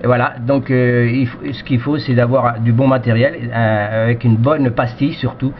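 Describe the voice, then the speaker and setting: A man's voice: medium pitch, fairly even intonation, moderate pace. A man from France, an amateur radio operator, chatting casually over the radio in a rambling way full of verbal tags, on a headset microphone. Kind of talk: casual radio chat, unscripted. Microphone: cheap headset microphone. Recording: dull, noisy radio transmission.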